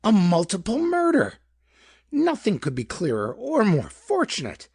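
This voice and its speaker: A man's voice: sits high, huge pitch swings, measured pace, darkish tone, breathy edge, dry recording, studio quality, voice pushed up, full character voice. A man voicing a pedantic, eager scientific sleuth in a pulp detective story, with formal, precise diction. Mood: gleeful.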